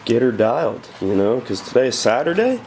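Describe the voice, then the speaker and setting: A man's voice: mid-range voice, big pitch swings, fairly quick, a little mellow, a little hiss or hum. A young man with a stuffy nose from a cold, chatting loosely and profanely about car repairs in his home garage. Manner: loose, profane, rambling with many fillers. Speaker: car builder vlogger. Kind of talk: casual garage vlog.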